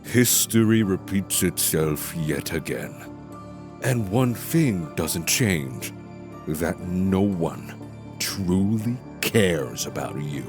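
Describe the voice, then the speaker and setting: Evil inner voice, deep, drawn-out, swooping intonation, tempting, venomous, fantasy audio drama. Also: male voice, expressive, a little mellow, soft background music. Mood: cold, taunting. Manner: slow, taunting, drawn-out delivery. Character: sinister male voice of guilt, tempting, venomous, goading.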